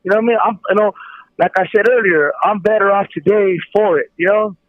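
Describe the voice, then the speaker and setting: A man's voice: high voice, fairly even intonation, fast talker, no room sound. A man calling in over a phone, talking casually and earnestly, with emphatic repeated phrases. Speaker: guest calling from prison. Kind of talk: casual, testimonial talk. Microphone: telephone handset. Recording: tinny prison phone line.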